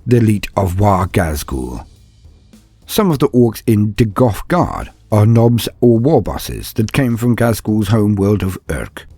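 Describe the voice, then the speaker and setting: A man reading scripted dramatic narration in a grave, measured storytelling manner. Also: sits low, darkish tone, slightly rough, dry recording. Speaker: narrator of a fan lore channel.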